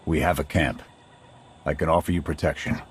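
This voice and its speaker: An actor playing a weary, principled soldier in a video game, gruff and terse. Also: male voice, extremely low pitch, steady tone, fairly quick. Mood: businesslike. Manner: terse, military, matter-of-fact. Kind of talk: scripted video game drama acting. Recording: faint game ambience and noise.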